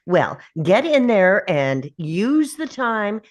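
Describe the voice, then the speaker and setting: Woman as a stern mother, pushy, emphatic, drawn-out words, animated pitch, film table read. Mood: stern, nagging.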